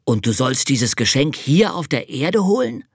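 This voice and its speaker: A narrator voicing a kindly but easily offended old male hare in a children's storybook, slow and musing. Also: mid-range voice, sing-song, husky, dry recording, clean studio sound, pitched above the performer's own voice, full character voice. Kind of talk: storybook reading.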